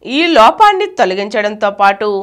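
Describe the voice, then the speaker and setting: A woman reading a scripted news article aloud as a narrator, in a formal, measured manner. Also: medium pitch, sing-song.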